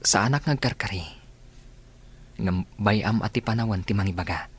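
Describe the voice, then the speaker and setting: A man's voice: lowish voice, moderate pace, slightly rough. A man playing a sincere, poetic young suitor in an Ilocano radio drama. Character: Carl, sincere, poetic young man courting his sweetheart.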